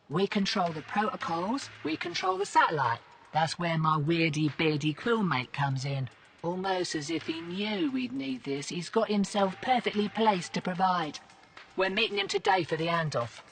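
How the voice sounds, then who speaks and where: A woman's voice: on the low side, very animated, normal speed, recorded from a distance. A woman acting as a cool, cryptic, roguish covert operative in a video game, speaking in clipped, quirky phrases. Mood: sly, confident. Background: music.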